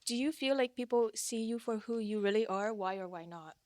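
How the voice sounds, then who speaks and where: A young woman with a slightly high voice leads the discussion, asking questions in a clear, careful and friendly way. The recording is close and dry. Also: fairly quick, darkish tone, no room sound. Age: young adult. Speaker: podcast host asking questions. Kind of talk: casual group discussion.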